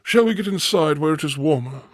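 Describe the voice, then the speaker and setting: Man acting a high-voiced, nervous manservant. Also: animated, fairly quick, no echo, clean studio sound. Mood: deferential. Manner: formal, hesitant, servile. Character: nervous, deferential manservant of an English estate. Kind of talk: radio drama acting.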